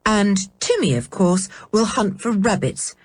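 A woman's voice: mid-range voice, lively intonation, husky, voice pushed up. A woman voicing a cheeky schoolboy who teases and jokes. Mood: teasing. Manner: jokey, quick and teasing.

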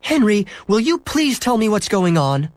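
Man putting on a high-pitched, fretful mother. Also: wildly swooping pitch, a little fast, no echo, heavily acted. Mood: exasperated. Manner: exasperated, fretful. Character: fussy, exasperated mother of a young boy. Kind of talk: children's storybook character voice.

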